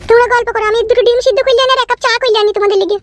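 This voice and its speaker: A woman's voice: extremely high pitch, fairly even intonation, very fast, dry recording. A woman voicing a middle-aged, scheming village mother-in-law in a comedy cartoon, fawning and folksy, with a Bengali accent. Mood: prying, delighted. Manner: fawning, folksy, repetitive endearments. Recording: music behind the voice.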